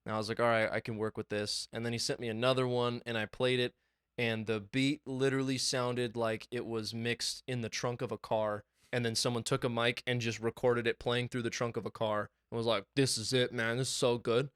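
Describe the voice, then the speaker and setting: Young male podcast co-host, chatty and excitable, swears freely, clean dry mic. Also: medium pitch, brisk, smooth, no room sound. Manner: excited, swearing, rambling storytelling. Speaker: podcast co-host and music producer. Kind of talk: casual chat. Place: small home studio.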